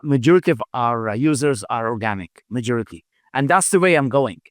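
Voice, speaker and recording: A middle-aged man, a company founder, speaking English as a second language in a halting interview answer style, full of fillers and repeated words. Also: mid-range voice, expressive, dry recording, clean studio sound. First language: not English. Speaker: founder and CEO, podcast guest.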